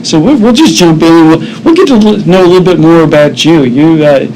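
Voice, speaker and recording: A man's voice: fairly high pitch, expressive, brisk, dark tone, rough recording. A man co-hosting a local TV talk show, chatty and upbeat, talking with lots of fillers. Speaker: co-host. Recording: clear background noise.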